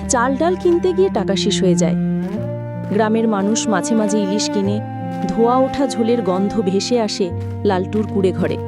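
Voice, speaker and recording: A woman narrating a moral cartoon story in a solemn, measured way. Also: mid-range voice, animated, over loud music.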